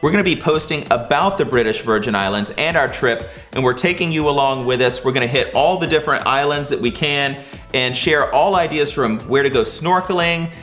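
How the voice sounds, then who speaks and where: Relaxed male co-host on a studio sofa. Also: mid-range voice, fairly quick, faint music behind. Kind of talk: casual scripted chat. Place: indoor studio set with sofa.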